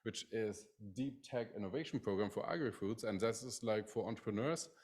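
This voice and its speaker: A man's voice: fairly low pitch, fairly quick, a little mellow. A middle-aged man with a German accent giving a conference talk as a professor, with lots of "like" fillers and restarts. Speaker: professor presenting his lab. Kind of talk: conference presentation.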